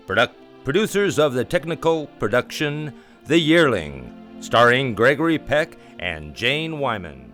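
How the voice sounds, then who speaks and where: A man's voice: medium pitch, fairly bright tone. A man reading scripted announcements in the style of an old-time radio program announcer.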